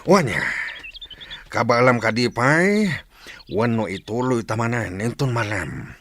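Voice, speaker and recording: A man with an Ilocano accent playing a stern leader and fight trainer in a radio drama, commanding and deliberate. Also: mid-range voice, expressive, fairly bright tone. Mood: demanding. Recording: faint background noise, clicks.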